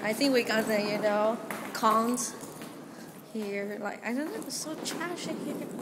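A woman's voice: fairly high pitch, a little slow. A young woman chatting casually into a handheld camera on a city street at night, in non-native English with lots of fillers. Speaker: vlogger filming on the street. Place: outdoors on a city street at night during fireworks. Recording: loud street noise, fireworks bangs. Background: fireworks, traffic and street noise.

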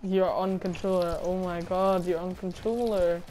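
A woman's voice: lowish voice, little pitch movement, a little slow, mellow, a little hiss or hum. A teenage girl teasing a friend with mocking, repeated taunts during an online game chat. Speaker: gamer. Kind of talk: teasing gaming banter.

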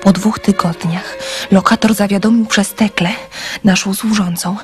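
A woman's voice: medium pitch, fairly even intonation, moderate pace, lightly acted. An actress playing a modest, bashful young granddaughter in a radio drama, earnest and demure as she tells her story. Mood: wistful recounting. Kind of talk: radio drama acting, first-person storytelling. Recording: music behind the voice.

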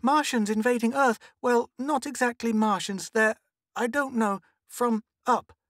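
A narrator voicing a bossy, excitable young girl in a story, impatient and earnest. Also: medium pitch, a little slow, no echo, studio quality, voice pushed up. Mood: earnest, excited.

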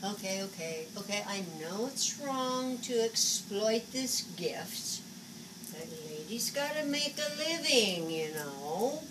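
A woman's voice: mid-range voice, animated. A woman in her seventies playing a flamboyant, agitated psychic who scolds spirits in a dramatic stage monologue. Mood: defensive. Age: 70s. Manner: dramatic, exasperated, halting muttering to outbursts. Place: small indoor stage set. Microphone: camera a few metres away. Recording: distant camera recording, noisy room.

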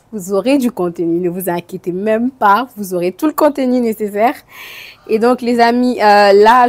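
A woman in her thirties vlogging casually to a phone camera in a small bedroom, slightly hoarse from a cold and slipping English phrases into her talk. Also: medium pitch, darkish tone. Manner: chatty, mixes French with English phrases. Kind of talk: casual vlog chat to camera. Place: small bedroom with clothes rack, indoors. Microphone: phone camera at arm's length.

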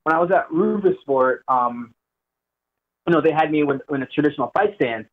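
A man's voice: on the high side, moderate pace. A young man from Jamaica, raised in Florida, calling in as a guest fighter and talking loosely, often repeating words. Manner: relaxed, rambling, repeats words. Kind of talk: phone-in interview. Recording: tinny phone line.

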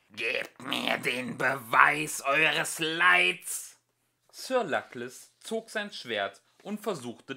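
Lively, bright-voiced man reading a fairy tale. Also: mid-range voice. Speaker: amateur audiobook reader. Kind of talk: expressive fairy-tale narration.

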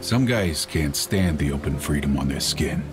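An actor playing a brooding, bitter ex-convict antihero, narrating a film noir game in a slow, world-weary way. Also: male voice, a bass voice, animated. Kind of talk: film noir voice-over acting. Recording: music behind the voice.

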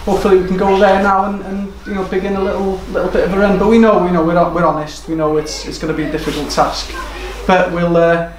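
Man, football manager, post-match interview, fairly high voice, roomy echo, earnest. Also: flat delivery, fast, few pauses. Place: small room indoors overlooking a football pitch. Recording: echoey room with background noise.

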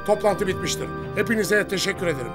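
An actor playing a grave, resolute older army commander in a cartoon, giving a formal, authoritative briefing. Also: male voice, sits high, expressive, over music. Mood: grave resolve. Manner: solemn, authoritative, measured.

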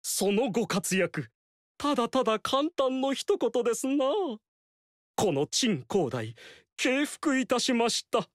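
A flamboyant court strategist from a historical action game, who talks in a high, swooping voice full of courtly flourishes. He lingers over each word and doubles his phrases for effect. The sound is close and dry, with no room around it. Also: sing-song, slow pace, no room sound, heavily acted. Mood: flattering. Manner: theatrical, courtly, repeats phrases for emphasis. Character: flamboyant, fawning male court strategist, middle-aged. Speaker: game character. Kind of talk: video game voice lines.

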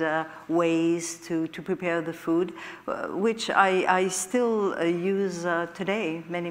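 A woman in her sixties with a Quebec French accent, French her first language, speaking on a discussion panel, full of hesitations and restarts. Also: lowish voice. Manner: halting, many hesitations and restarts. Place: stage in an event hall. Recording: hall reverb.

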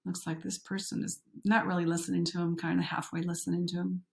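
A woman's voice: fairly low pitch, level tone, fast talker, vocal fry, a little mellow. A middle-aged woman doing a picture-book read-aloud with chatty commentary, interjecting and putting questions to young listeners. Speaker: coach reading a picture book aloud to children.

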